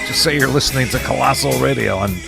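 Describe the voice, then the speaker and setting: A man's voice: medium pitch, fast, creaky. An American man hosting an oldies radio show with casual, upbeat, chatty patter. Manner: upbeat, chatty, chuckles between phrases. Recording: radio aircheck with music behind. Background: guitar and oldies music.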